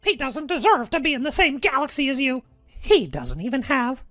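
Super high squeaky evil boy mouse boss, giggly n taunting, bright cartoon radio play voice. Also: big pitch swings, normal speed, faint music behind. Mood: gloating. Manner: mocking, taunting. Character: gleefully mean, squeaky cartoon mouse crime boss, male. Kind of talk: parody radio drama acting.

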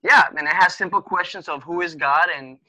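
Bright-voiced Spanish-native man, halting. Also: high voice, poor audio. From Spanish-speaking country. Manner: halting, many fillers and restarts. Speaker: podcast guest. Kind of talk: casual conversation.

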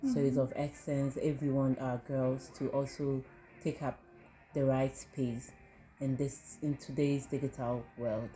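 A woman's voice: very deep voice, level tone, unhurried, mellow, soft background music, rough recording. A woman, a student union officer, giving earnest, fluent interview answers.

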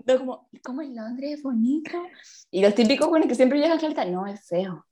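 A woman's voice: high voice, brisk, crowd noise. A woman in her twenties with a Chilean accent, telling a story in a casual chat, full of slang and laughter. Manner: animated, slangy, laughs often.

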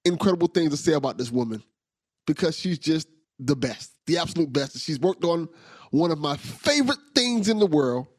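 Excitable man hosting a podcast, husky. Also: fairly high pitch, normal speed, dry recording. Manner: enthusiastic, laughs often, repeats words. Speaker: podcast host. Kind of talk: casual podcast chat.

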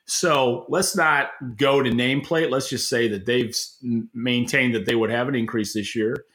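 Male podcast analyst, bright forceful voice, informal and halting conversational commentary. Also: mid-range voice, fairly even intonation. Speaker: podcast co-host and industry analyst. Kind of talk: opinionated market commentary in conversation.

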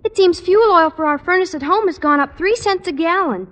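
An actress playing a sweet, matter-of-fact teenage daughter in a radio sitcom, innocently deadpan. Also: female voice, very high-pitched, a little fast. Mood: cheerful, casual. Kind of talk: 1950s radio sitcom acting.

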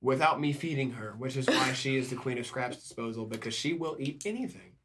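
A man's voice: mid-range voice, fairly quick, slight background noise. A man from Indiana, co-hosting a podcast in crude, joking banter. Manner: crude, joking, loud bursts. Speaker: podcast co-host. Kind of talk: casual banter.

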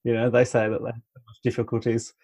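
A man's voice: medium pitch, monotone, fast talker, darkish tone, poor audio. A man chatting casually and enthusiastically, rambling a bit and laughing often. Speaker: conversation partner. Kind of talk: casual chat.

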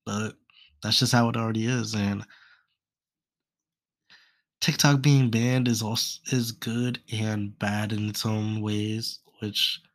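A young man with a stuffy, congested nose talks through a solo podcast in a loose, rambling way, trailing off into fillers. His voice sits low and barely moves in pitch. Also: level tone, slow pace, pauses often. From United States. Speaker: podcast host. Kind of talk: casual solo podcast talk.

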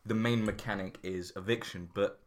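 A man's voice: on the low side, normal speed. A man from Greater Manchester co-hosting a podcast, chatting casually and enthusiastically. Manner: enthusiastic, lively. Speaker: podcast co-host.

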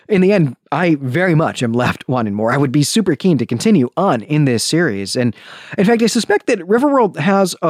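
Animated male podcast host, dry close sound. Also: mid-range voice, no room sound. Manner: enthusiastic, emphatic, conversational. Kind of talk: book review podcast monologue.

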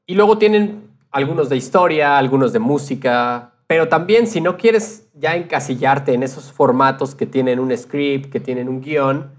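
A man's voice: medium pitch, moderate pace. A man speaking casually as a podcast guest, explaining his thoughts in a reflective way. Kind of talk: casual conversation.

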